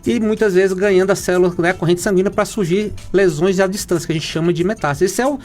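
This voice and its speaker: Brazilian man from minas gerais, bit high voice, stops and restarts a lot, faint music behind. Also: fairly even intonation, a little mellow. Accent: Brazilian Portuguese, Minas Gerais. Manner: halting, many fillers and restarts. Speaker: oncologist guest. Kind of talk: explanatory interview talk.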